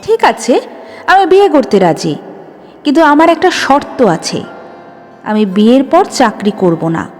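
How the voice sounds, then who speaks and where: Woman acting a proud young woman, high-voiced. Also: very animated, measured pace, long pauses, darkish tone, full character voice. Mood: calm, firm. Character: wounded, proud, sharp-tongued young woman. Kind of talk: audio story dialogue. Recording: long reverb with music behind.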